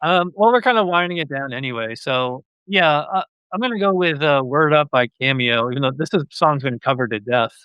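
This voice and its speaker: A man's voice: fairly high pitch, lively intonation, brisk, few pauses, clear, resonant tone, no room sound, dull audio. A man hosting a podcast in a casual, chatty style. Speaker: podcast host.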